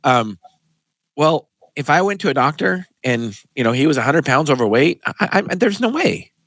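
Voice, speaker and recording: A man's voice: fairly high pitch, normal speed, no echo. Emphatic male pharmacist host, dry sound. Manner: animated, emphatic storyteller. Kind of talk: casual interview chat.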